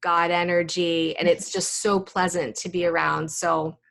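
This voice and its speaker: A woman's voice: lowish voice, little pitch movement, a little slow, gravelly, fairly bright tone. A woman interviewer chatting casually, with appreciative and loosely phrased informal talk. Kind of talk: casual chat.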